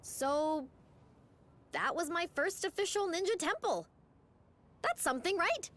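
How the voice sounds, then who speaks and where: An excitable young superhero fangirl with a very high voice. She bounces all over the scale and gushes eagerly. This is a game cutscene. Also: unhurried, full character voice. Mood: excited. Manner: bubbly, eager, gushing.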